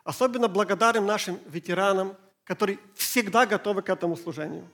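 High-voiced Ukrainian-born man preaching. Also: fairly bright tone. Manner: slow, halting German, pausing phrase by phrase. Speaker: pastor. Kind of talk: sermon in Russian with interpreter.

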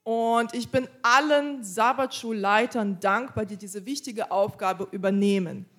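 A woman interpreting a pastor's sermon live in church, quick and casual, with small slips and restarts. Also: medium pitch, a bit bright.

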